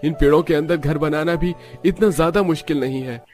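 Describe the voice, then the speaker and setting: A man's voice: a little high, brisk, a little mellow, soft background music, close to an everyday voice. A man playing a plain-spoken, agreeable village husband in a cartoon story. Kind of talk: Hindi cartoon story dialogue.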